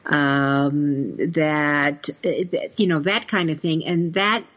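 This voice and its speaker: Mature female podcast host, lower voice, chatty and emphatic, on slightly dull audio. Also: fairly even intonation, slow pace. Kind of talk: conversational interview podcast about book publishing.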